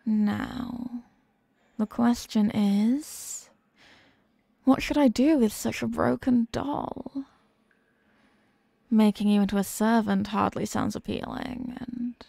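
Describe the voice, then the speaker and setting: A haughty demon queen, played by a woman for an ASMR roleplay, speaking slowly with a creaky edge in a fairly high voice. She is mocking and possessive, teasing the listener with deliberate condescension. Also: mellow, no echo. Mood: probing, curious.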